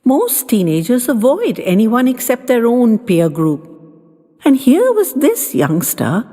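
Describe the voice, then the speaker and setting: Mellow woman narrating a story, long reverb. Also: on the high side, huge pitch swings, slow. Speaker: storyteller reading a first-person story. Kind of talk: scripted audiobook-style narration of a slice-of-life story. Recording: long reverb, faint music behind.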